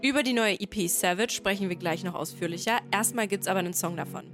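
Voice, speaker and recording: A woman's voice: mid-range voice, fast. A woman hosting a radio show, presenting a guest and a song from a script in informal language. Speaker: radio host.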